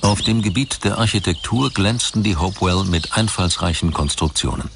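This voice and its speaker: A man's voice: low voice, moderate pace, vocal fry. A man reading scripted documentary narration as the narrator. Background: music behind the voice.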